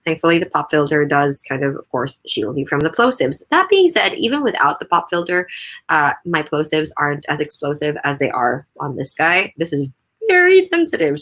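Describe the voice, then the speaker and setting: A young woman with a low mezzo voice, chatting loosely with lots of fillers while reviewing a microphone up close in a home room. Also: sing-song, a little fast, a bit bright. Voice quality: low mezzo voice, crisp consonants. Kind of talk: casual spoken review. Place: untreated home room, not soundproof. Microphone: studio USB condenser microphone close up.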